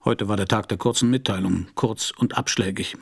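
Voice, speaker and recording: A man's voice: on the low side, steady tone, a little fast. An actor playing a world-weary private detective in a radio crime drama, narrating his case in a laconic, dry way. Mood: wry, reflective. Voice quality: husky, gravelly.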